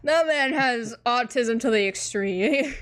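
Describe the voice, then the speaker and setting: An excitable woman swears and laughs her way through a webcomic reaction. Her voice is hoarse and a little worn, and it sits slightly high. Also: takes it slowly. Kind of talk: casual reaction chat.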